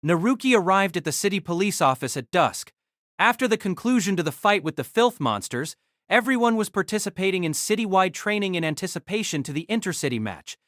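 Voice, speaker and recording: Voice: mid-range voice, sing-song, brisk, fairly bright tone, dry recording, studio quality. A narrator reading scripted third-person story narration for an audio drama.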